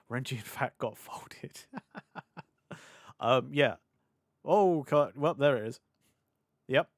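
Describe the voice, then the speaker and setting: A young British man streaming live, rambling and laughing as he chats with his audience, his voice a little hoarse from hours of talking. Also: mid-range voice, no echo, studio quality. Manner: chatty, scattered, quick to laugh. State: slightly hoarse. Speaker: VTuber streamer. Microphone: desk mic on a boom arm.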